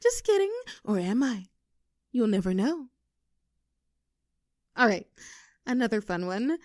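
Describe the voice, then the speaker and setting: A woman's voice: fairly high pitch, sing-song, darkish tone, no echo, studio quality. A woman playing an affectionate, teasing girlfriend with a vulnerable streak in an intimate roleplay audio drama. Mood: playful, teasing. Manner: teasing, halting when earnest.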